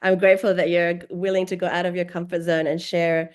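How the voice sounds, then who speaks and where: A woman with a fairly low voice, hosting a relaxed conversation over a video call. She sounds encouraging and friendly, and often drops Arabic phrases into her English. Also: fast. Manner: warm, encouraging, uses Arabic phrases like inshallah. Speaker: host. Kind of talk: casual interview talk.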